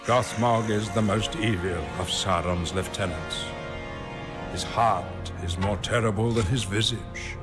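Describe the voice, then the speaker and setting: A grave old wizard acted by a man narrates with a low, commanding voice. The delivery is solemn, deliberate and portentous, in the manner of a fantasy game story, with music clearly playing behind. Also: natural voice. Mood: foreboding. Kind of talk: dramatic scripted game narration.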